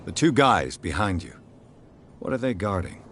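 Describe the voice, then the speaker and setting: A man voicing a calm, inquisitive private detective in a video game, asking dry questions. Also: fairly low pitch, moderate pace, a little hiss or hum.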